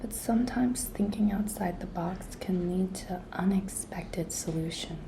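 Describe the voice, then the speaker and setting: Slow, whispery, flirty office intern played by a woman. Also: mid-range voice, noisy background. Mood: flirtatious, suggestive. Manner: breathy, coy, slow and deliberate, often dropping to a whisper. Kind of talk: whispered ASMR roleplay.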